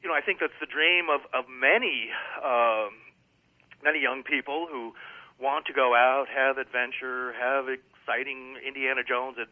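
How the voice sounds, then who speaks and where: An older American man, a guest author in a phone interview, talking in an animated, emphatic, rambling way with many fillers. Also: mid-range voice, moderate pace. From United States. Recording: tinny phone line.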